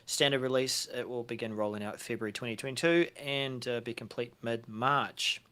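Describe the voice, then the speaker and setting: A man's voice: medium pitch, normal speed, bright, forceful tone, clear, resonant tone. A man co-hosting a tech news show in casual, unscripted conversation, phrasing things in short, hesitant pieces.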